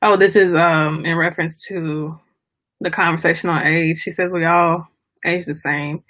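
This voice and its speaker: A woman hosting a casual book discussion, speaking thoughtfully and haltingly as she searches for her words. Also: on the low side, steady tone, a bit bright, no room sound. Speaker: book club discussion host.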